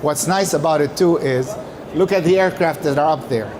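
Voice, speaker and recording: A man's voice: fairly high pitch, normal speed, noisy background. A man giving a prepared presentation as a company speaker, explaining emphatically and posing questions that he then answers. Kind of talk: prepared conference-style presentation talk.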